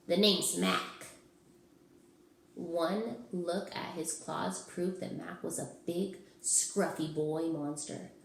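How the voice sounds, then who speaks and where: A woman teacher reading a picture book to young children in a slow, gentle, expressive way. Her voice sits a little low. The room has a clear echo with faint noise underneath.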